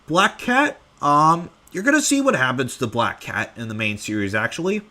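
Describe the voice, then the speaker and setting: A man's voice: a little high, sing-song, moderate pace, pushed and bright. A young man casually answering fan questions at home, rambling with lots of fillers. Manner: rambling with many fillers, offhand. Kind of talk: casual live Q&A.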